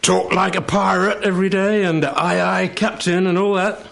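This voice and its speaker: A man's voice: sits high, little pitch movement, moderate pace, gravelly, fairly bright tone, soft background music. A man playing a curious, cheeky office co-worker in a radio play, chatting in a teasing, conversational way. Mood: amused, curious. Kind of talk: stage radio play acting.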